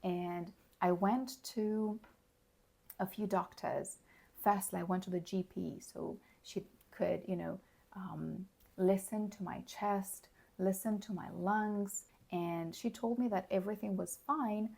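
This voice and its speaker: A hoarse woman giving a slow, clear English lesson, explaining words plainly and checking in with the listener. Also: mid-range voice, steady tone, dark tone. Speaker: English teacher. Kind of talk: slow, clear teaching monologue.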